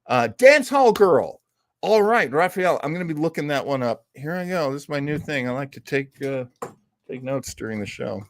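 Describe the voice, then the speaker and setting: A man's voice: on the high side, lively intonation, normal speed, slight background noise. A man hosting an online old-time radio show, chatting casually and ramblingly with theatrical, jokey asides. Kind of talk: casual rambling livestream chat.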